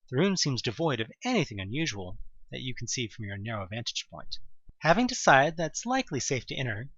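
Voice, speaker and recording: A man's voice: a little high, a bit bright, no echo, dull audio. A man narrating a horror audio drama in the second person, with dramatic, wry storytelling.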